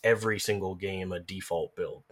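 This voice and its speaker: Lowish-voiced man in casual chat. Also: fairly even intonation, fairly bright tone. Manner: thinking aloud, halting phrasing. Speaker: podcast speaker. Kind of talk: casual conversation about game lore.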